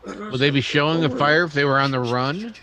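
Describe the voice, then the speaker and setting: A man playing a gentle, troubled townsman in a radio western, speaking thoughtfully and hesitantly. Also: on the high side, animated, brisk, a little hiss or hum. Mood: troubled, pensive. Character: troubled, gentle, conscientious townsman riding with posse. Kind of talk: old-time radio western acting.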